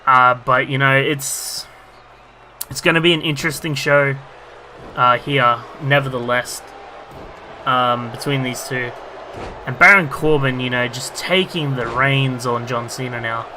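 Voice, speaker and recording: A man commentating his own wrestling video game match, rambling with lots of fillers and bursting into excitement at big moves. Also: medium pitch, unhurried, background noise. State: losing his voice.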